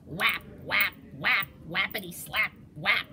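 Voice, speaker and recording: A woman voicing a loud, bossy female capybara bully who shouts and blusters indignantly. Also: medium pitch, slow, a little hiss or hum. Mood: shouting.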